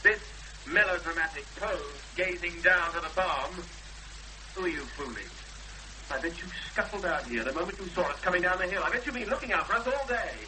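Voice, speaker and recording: A hot-headed fiancé in a 1970s radio drama. His voice is high and piercingly bright, with clipped, accusing delivery. A faint hum of old broadcast noise sits behind it. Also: lively intonation, a little fast, exaggerated voice, rough recording. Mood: scornful accusing. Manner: clipped, accusing, pressing with blunt questions. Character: forceful, angry young fiancé confronting a victim, impatient and accusing.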